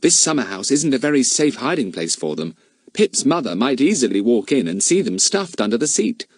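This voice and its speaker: A man's voice: medium pitch, sing-song, fairly quick, dry recording. A man voicing a sensible older boy who gives practical instructions.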